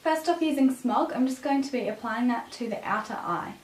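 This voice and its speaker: A woman with a raspy voice, a bit sick with a cough, presenting a makeup tutorial as a beauty vlogger. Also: on the high side, brisk, slight background noise. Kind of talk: scripted makeup tutorial narration. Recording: roomy echo, distant microphone.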